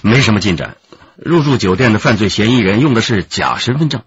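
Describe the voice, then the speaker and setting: An actor playing a wily, taunting senior detective in a radio drama, needling with leading questions. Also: male voice, fairly low pitch, lively intonation, fairly quick, husky, highs cut off. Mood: reporting. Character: wily, taunting male police detective in command. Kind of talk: Chinese radio drama acting.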